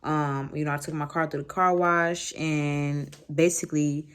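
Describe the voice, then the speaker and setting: Casting: American woman vlogger, low voice, loose slangy storytime delivery with animated asides. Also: measured pace, clean tone. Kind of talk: casual storytime chat to camera.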